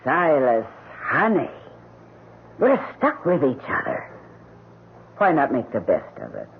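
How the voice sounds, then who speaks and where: An actress playing a mercenary, blackmailing housekeeper with a sardonic, blunt, colloquial manner in an old radio mystery drama. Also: female voice, extremely low pitch, huge pitch swings, takes it slowly, vocal fry, exaggerated voice. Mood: threatening, wheedling. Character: brassy, scheming blackmailing housekeeper, sarcastic and mercenary. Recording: tinny, noisy old radio recording.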